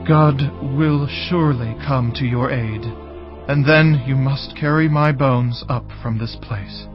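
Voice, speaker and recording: An actor playing a solemn, forgiving Hebrew statesman in a dramatized scripture reading, with a measured and earnest delivery. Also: mid-range voice, natural voice. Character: Joseph, grieving, forgiving Hebrew statesman of ancient Egypt. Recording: slightly dull audio with music behind. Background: music.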